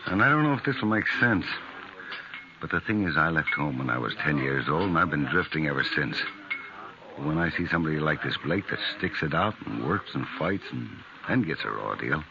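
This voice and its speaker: An actor playing a polite, soft-hearted American frontier gambler in a 1950s radio western, speaking haltingly and sincerely. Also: male voice, extremely low pitch, animated, gravelly, background noise, full character voice. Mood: sincere, courteous. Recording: muffled old radio broadcast.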